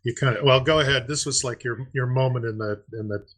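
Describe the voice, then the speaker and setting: A man's voice: medium pitch, a little fast, a bit bright. A middle-aged man co-hosting a podcast, chatting casually and prompting with questions. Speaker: podcast co-host.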